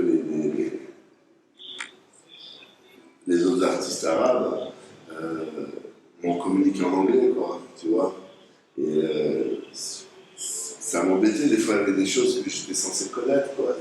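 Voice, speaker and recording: A man's voice: sits low, warm tone. A man from Tunisia, an artist, talking casually and frankly, with many restarts and hesitations. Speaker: artist being interviewed. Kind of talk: casual conversation. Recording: echoey, distant mic, noisy background.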